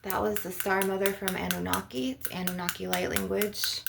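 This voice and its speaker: A woman hosting a spiritual ASMR session, speaking softly and at times in a near-whisper, with a drawn-out delivery. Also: medium pitch, level tone, gravelly. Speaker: ASMR host and spiritual healer. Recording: noisy recording with clicking sounds.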